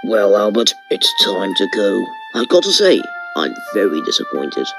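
A man's voice: lowish voice, huge pitch swings, moderate pace, gravelly, music in the background, exaggerated voice. A man voicing a stern but civil railway manager in a cartoon, speaking with authority.

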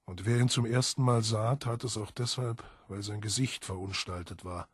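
Deep, very husky male storyteller. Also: fairly even intonation, moderate pace, no room sound, clean studio sound. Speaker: audiobook narrator. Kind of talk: dramatic scripted literary narration.

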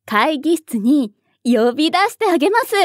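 An actress playing a haughty tsundere young office worker in a voice drama, coy and blunt. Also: female voice, high-pitched, very animated, normal speed, no room sound, clean studio sound. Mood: snide, huffy. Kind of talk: Japanese voice drama dialogue.

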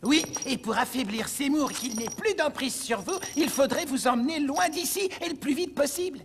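An actress voicing a perky, cheeky little animal sidekick in a cartoon. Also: female voice, high voice, animated, normal speed, over music.

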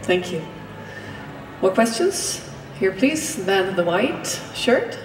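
Lively woman moderator, echoey hall. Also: mid-range voice, slow pace, recorded from a distance, slight background noise. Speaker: press conference moderator. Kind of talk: moderating questions.

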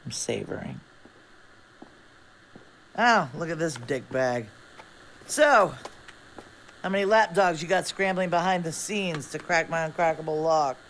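A man's voice: a little high, unhurried, long pauses, fairly bright tone, slight background noise, low-quality recording. A man playing a smug, foul-mouthed rival hacker in a video game, gloating and sneering. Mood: smug, taunting. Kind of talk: video game cutscene acting.

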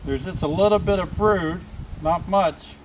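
A man calmly explaining a beehive inspection as he works outdoors in a yard, thinking aloud as he goes. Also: sits high, unhurried, far from the mic, a little hiss or hum, low-quality recording. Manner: calm, explanatory, thinking aloud while working. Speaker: beekeeper teaching a hive inspection. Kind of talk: outdoor demonstration narration.

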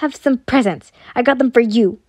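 A boastful, blunt young man, acted by a woman with a high but mellow voice. He is a pushy, proud story character, heard in a dry recording. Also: flat delivery, moderate pace, no echo, natural voice. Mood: demanding.

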